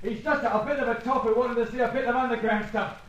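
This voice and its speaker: An actor playing a frightened but stubborn petty crook in an old radio serial, halting and strained as he protests in slang. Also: very high voice, fairly even intonation, fairly bright tone, distant mic, lo-fi sound. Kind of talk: 1940s-50s radio crime serial acting. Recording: very echoey, off-mic old radio.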